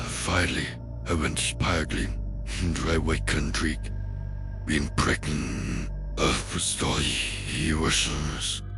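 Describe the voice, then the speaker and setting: A man reading a horror story in a garbled, stumbling way, his voice run through a dark villain voice filter. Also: vocal fry. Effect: deep dark villain voice filter. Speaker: amateur online horror story narrator. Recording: music behind, heavily filtered voice. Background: music.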